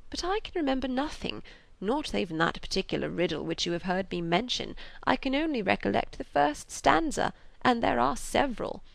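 Woman reading as a fretful, creaky old gentleman. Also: medium pitch, big pitch swings, normal speed, no room sound. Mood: wistful, fond. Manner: plaintive, hesitant, sighing, rambling. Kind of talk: audiobook character reading of a classic novel.

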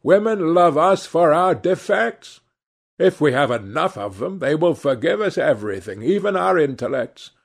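A man's voice: a little high, big pitch swings, moderate pace, gravelly, husky, voice pushed up, heavily acted. A man voicing a witty, cynical aristocrat in a storybook reading, languid and epigrammatic. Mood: wry.

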